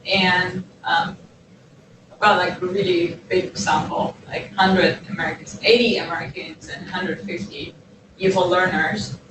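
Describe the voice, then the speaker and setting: A woman's voice: on the low side, steady tone, unhurried. Distant echoey woman student from Iran. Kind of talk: classroom discussion. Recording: echoey room, speaker far from mic, noisy.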